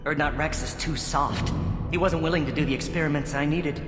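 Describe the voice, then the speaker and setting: A man plays a defiant alien researcher in a sci-fi game, mid-pitched for a male voice and full of righteous accusation. He argues earnestly in a reverberant hall, with music clearly playing behind him. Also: fairly even intonation, brisk, natural voice. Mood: self-justifying. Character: defiant male alien scientist, idealistic, self-righteous former student. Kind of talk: video game cutscene acting.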